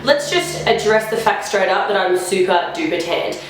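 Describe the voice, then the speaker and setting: A woman's voice: medium pitch, expressive, moderate pace, slight background noise. A young woman vlogger chatting casually through a makeup try-on, sounding a bit nasal from a flu and filling her talk with "like". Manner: chatty, rambling, lots of "like" fillers. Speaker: beauty vlogger. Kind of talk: casual makeup first-impressions chat. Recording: very echoey room.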